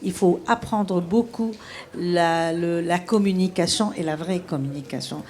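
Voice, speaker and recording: Lower-voiced woman HR leader, measured delivery. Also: a little mellow. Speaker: HR association president. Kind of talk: spontaneous testimony.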